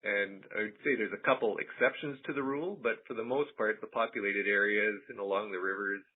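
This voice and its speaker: A man speaks over a thin, tinny phone conference line. His voice is fairly low and rather husky. He presents technical material informally, in a measured, halting way with careful qualifications. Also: fairly even intonation. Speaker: animal health surveillance presenter. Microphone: telephone conference call.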